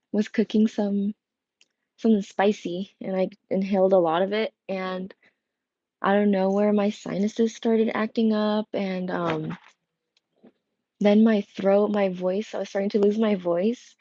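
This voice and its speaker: Young woman tarot reader, worn voice, unhurried rambling chat, gravelly edge. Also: mid-range voice, dark tone, no echo, muffled recording. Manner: rambling, many fillers and restarts. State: voice strained and worn. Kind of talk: casual livestream chat. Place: home room with coffee machine. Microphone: earbud headset mic.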